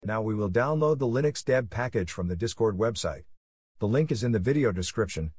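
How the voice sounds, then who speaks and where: A man reading scripted software tutorial narration, giving plain step-by-step instructions. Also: lowish voice, expressive, a little fast, slightly rough, dry recording, clean studio sound.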